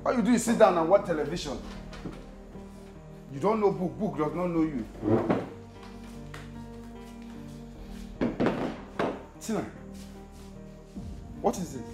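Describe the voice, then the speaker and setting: A man's voice: fairly high pitch, expressive, normal speed, long pauses, lightly acted. A man playing a husband who grumbles about his uncovered food, stammering and repeating himself when upset. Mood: scolding. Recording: roomy echo, faint music.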